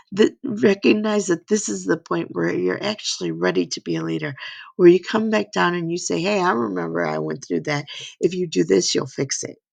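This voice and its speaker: An older woman speaks in a hoarse, gravelly voice with a husky edge. She gives a rambling, earnest faith-based motivational talk and keeps checking in with "right?" and "you know?". The sound is dry and close. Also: medium pitch, dry recording. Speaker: speaker giving a slide talk.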